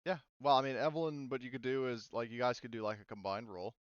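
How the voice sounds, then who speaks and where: A man's voice: mid-range voice, steady tone, quick pace, clean tone, no echo, highs cut off. A young man acting as game master, checking rules casually with many fillers. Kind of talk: running a tabletop game with players.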